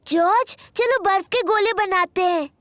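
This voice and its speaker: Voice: very high voice, measured pace, noisy background. A performer voicing a cheerful, bossy little girl piglet in a children's cartoon, giggly and emphatic. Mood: playful.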